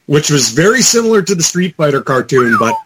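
A man's voice: on the high side, sing-song, brisk, background noise. A man talking casually and enthusiastically as an opinionated panelist in a group podcast chat.

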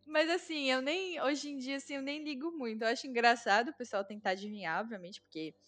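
A woman's voice: high voice, brisk, a bit bright. A Brazilian woman with a Brazilian Portuguese accent, chatting casually and explaining things with lots of fillers. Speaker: guest, Brazilian living in Germany. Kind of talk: casual conversation.

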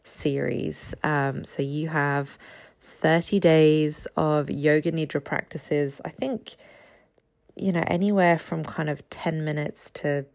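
A woman in her thirties hosting a casual solo podcast, a little raspy from just waking up, chatty with frequent ums and small laughs. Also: deep voice, fairly even intonation, slow, a little mellow. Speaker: podcast host.

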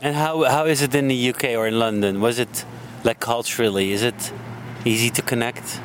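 A man's voice: mid-range voice, steady tone, moderate pace. A man interviewing a guest outdoors in a city park, joking around and giving lots of short agreements. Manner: jokey, many short backchannels. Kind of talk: casual interview. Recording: outdoor street noise.

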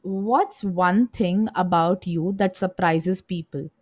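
A woman's voice: fairly low pitch, takes it slowly, a little mellow, slightly rough. A young woman around twenty hosts a casual podcast interview, posing questions and readily voicing agreement with her guest. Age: young adult, around twenty. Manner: affirming, often echoes agreement. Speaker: podcast host.

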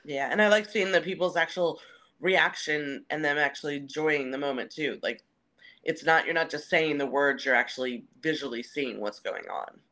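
A woman's voice: sits low, normal speed, pushed and bright. A woman who works as a stadium announcer, answering interview questions in a conversational, explanatory way.

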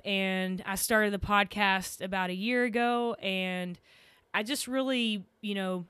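A woman's voice: mid-range voice, fairly bright tone, clean tone, no room sound. A woman with a Texan accent, talking casually as a podcast host. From Texas, United States.

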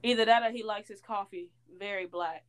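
A young woman from Tennessee co-hosts a casual fan podcast, teasing and giggling as she talks. Her voice sits at an ordinary mid pitch. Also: steady tone, a little fast, clean tone. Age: 20s. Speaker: podcast co-host. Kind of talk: casual chat.

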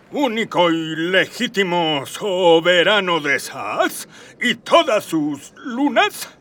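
An imperious alien emperor in a radio drama. His high voice rises and falls extravagantly as he makes slow, pompous proclamations. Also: fairly bright tone, heavily acted. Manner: pompous, ceremonial.

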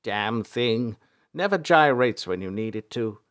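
A man voicing a warm, doddery, excitable old elder in a storybook, fond and full of exclamations. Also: fairly low pitch, measured pace. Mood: grumbling.

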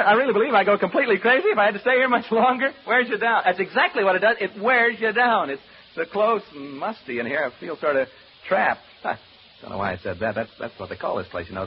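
Nervous stammering radio host guy in a spooky house, swoopy pitch, muffled hissy old horror show. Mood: panicky. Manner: nervous, stammering, rambling, giggly asides to listeners. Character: jittery, rambling radio broadcaster alone in a haunted house, slowly unraveling. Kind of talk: old-time radio horror drama acting. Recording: muffled old radio recording, background hiss.

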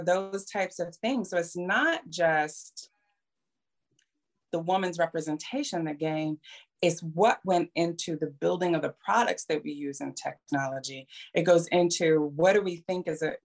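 A middle-aged woman with a slightly low voice, talking as a podcast co-host. She explains her points at length and with emphasis, often posing rhetorical questions and checking in with a short tag. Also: creaky. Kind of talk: conversational discussion, explaining at length.

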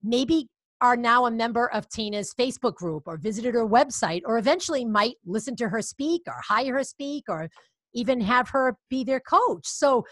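A woman's voice: fairly high pitch, animated, normal speed, fairly bright tone, no echo. A woman podcast host with a Boston accent, upbeat and chatty, encouraging her guest in a casual interview.

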